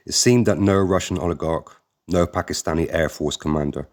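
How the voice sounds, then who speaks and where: Man with a very deep narrating voice. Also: brisk. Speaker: audiobook narrator. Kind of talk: scripted memoir narration.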